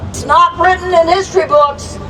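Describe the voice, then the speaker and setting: Female American rally orator, high voice, emphatic and deliberate, recorded at a distance outdoors with light crowd noise. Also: fairly even intonation, a bit bright. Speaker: anti-war rally speaker. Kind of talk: impassioned protest speech.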